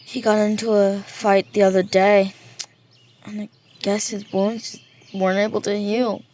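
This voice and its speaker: A woman's voice: mid-range voice, flat delivery, a little slow, warm tone, natural voice. A woman voicing a sad, subdued young schoolboy in an audio drama, speaking hesitantly.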